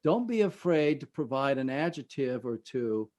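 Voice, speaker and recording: Guy being interviewed as an expert, medium pitch, kinda halting, explains stuff and trails off. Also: sing-song, darkish tone, lo-fi sound. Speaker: screenwriting expert guest. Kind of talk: conversational interview advice.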